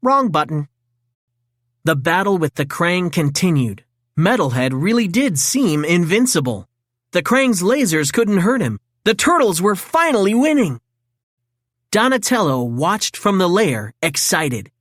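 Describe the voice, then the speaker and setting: A woman reading a children's story in an expressive, dramatic read-aloud style. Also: on the low side, measured pace, no room sound, studio quality. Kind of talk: scripted storybook narration for children.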